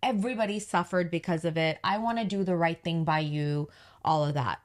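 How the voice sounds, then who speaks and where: A woman with a deep voice, co-hosting a podcast and telling a story in casual chat while acting out the quoted dialogue. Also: fairly quick. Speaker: podcast co-host, business owner.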